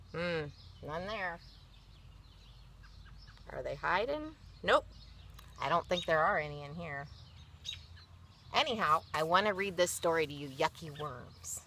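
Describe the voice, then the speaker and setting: A woman's voice: lowish voice, pushed and bright, a little hiss or hum. A woman reading a picture book to children, expressively, with chatty asides and simple explanations. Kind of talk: read-aloud with chatty asides.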